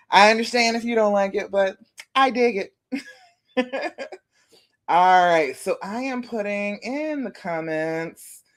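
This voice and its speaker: A woman relationship coach with a deep voice, talking casually and reassuringly to viewers on a phone livestream, often checking in with "okay?". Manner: warm, chatty, reassuring, tag questions like "okay?". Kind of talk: casual live-stream coaching talk. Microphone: phone live stream.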